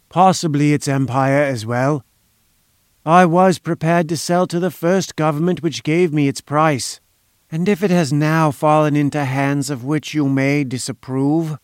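A man voicing an oily, boastful foreign inventor-conspirator in an audiobook, velvety and slow, with a slight foreign accent. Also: a little high, no echo, clean studio sound, close to an everyday voice. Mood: smug, threatening. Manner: slow, obsequious, deliberate.

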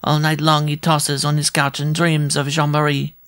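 Storybook dwarf, male performer: small, lovesick and irascible, shrill high-set voice, grandiose delivery. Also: completely flat delivery, normal speed, a bit bright, smooth, dry recording, clean studio sound. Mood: pleading lovesick. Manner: grandiose, theatrical, florid. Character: proud, irascible, lovesick little French circus dwarf. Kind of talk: storybook character voice.

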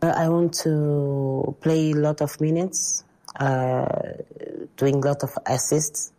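A woman footballer giving calm, deliberate interview answers. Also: sits low. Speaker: national team footballer.

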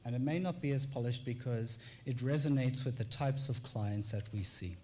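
A man's voice: medium pitch, warm tone, slight background noise. Formal South African man presenting. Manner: measured and formal, with rhetorical repetition. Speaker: tax clinic presenter. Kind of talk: conference presentation.

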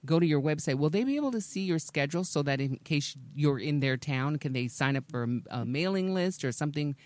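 A woman's voice: low-pitched, animated, a little fast, no echo. Chatty radio host woman, dry close mic. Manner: chatty and warm, laughs readily. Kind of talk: casual radio interview.

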